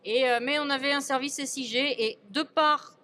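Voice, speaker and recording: Woman, elected official answering interview questions. Slightly high voice, halting and repetitive, recorded over background noise. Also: fairly even intonation, pushed and bright. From France. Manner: halting, with many repeated words and self-interruptions.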